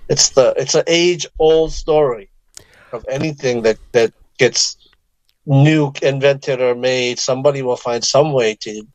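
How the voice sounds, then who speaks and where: A man's voice: mid-range voice, unhurried, no room sound, muffled recording. A man co-hosting a radio talk show in casual chat, reacting with frequent short agreements. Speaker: radio co-host. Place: radio studio.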